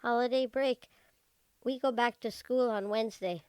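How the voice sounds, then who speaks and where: A woman's voice: fairly high pitch, steady tone, normal speed, a little mellow, clean tone, no echo, close to an everyday voice. A woman playing a plucky, forthright young schoolgirl in an old-time radio drama. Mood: curious, forthright.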